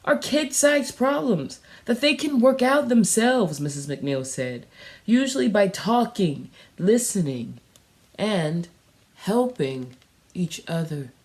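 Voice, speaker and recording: A woman's voice: mid-range voice, expressive, takes it slowly. A woman storyteller reading a children's story aloud expressively.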